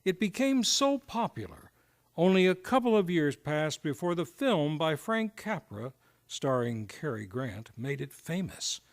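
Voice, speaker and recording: A man's voice: a little high, very animated, a little slow. A man hosting and narrating a radio play with theatrical, grandiose scripted delivery. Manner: grandiose, showy announcing.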